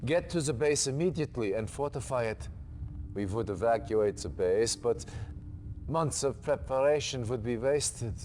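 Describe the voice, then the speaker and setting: A man's voice: medium pitch, animated, normal speed, darkish tone, a little hiss or hum, close to an everyday voice. An actor playing a firm male military officer briefing a subordinate in a game cutscene. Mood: commanding. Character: stern male military commander giving orders.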